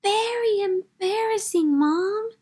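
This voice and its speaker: A woman voicing an exasperated, embarrassed little girl in an animated, scolding storybook read-aloud. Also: very high voice, slow pace, pitched above the performer's own voice. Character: exasperated, embarrassed little girl narrating her mom's misbehavior.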